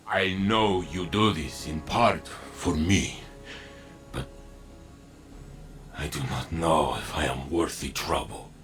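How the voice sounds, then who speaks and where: A man voicing a gentle, melancholy robotic warrior in a video game, speaking solemnly and haltingly as he doubts himself. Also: low-pitched, heavily acted. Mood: sorrowful. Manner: slow, halting, solemn and formal. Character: gentle, melancholy war machine man doubting his own worth. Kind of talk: scripted video game voice acting.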